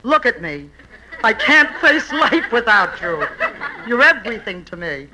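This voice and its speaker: A woman's voice: a little high, big pitch swings, a little slow, background noise. A woman playing a possessive, theatrical faded film star in an old American radio comedy. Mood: desperate pleading. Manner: theatrical, pleading.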